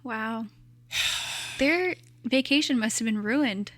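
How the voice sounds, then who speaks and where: Female podcast co-host from Texas, dry wit, bright and slightly high. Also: takes it slowly. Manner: dry, teasing, laughs often. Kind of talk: casual chat.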